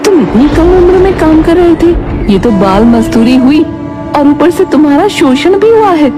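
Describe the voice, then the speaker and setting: A woman's voice: high voice, expressive, normal speed, voice pushed up. A woman voicing a concerned, righteous village schoolteacher in a cartoon, earnest and insistent in her speech. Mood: caring, indignant. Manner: earnest, insistent, scolding when crossed. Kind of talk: Hindi moral cartoon voice acting. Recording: music behind the voice.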